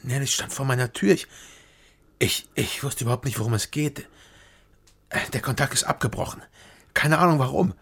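A man's voice: medium pitch, animated, normal speed, vocal fry, pauses often, fairly bright tone, husky, no room sound, exaggerated voice. An actor playing a weary, downcast East German investigator who narrates his own radio crime drama in a sober, terse, restrained way. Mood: tense. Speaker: narrator and protagonist. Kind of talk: terse scripted first-person crime narration and dialogue.

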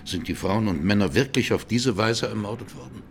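Casting: actor as courtroom judge, deep male voice, sober and formal interrogator for a radio play, faint music bed. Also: fairly quick, natural voice. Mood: sober, probing. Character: probing male judge questioning a witness. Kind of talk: radio drama courtroom questioning.

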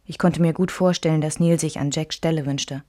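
A woman playing a wistful memoirist who recalls her marriage in measured radio drama narration. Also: deep voice, fairly even intonation, dark tone, lightly acted. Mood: subdued, wistful.

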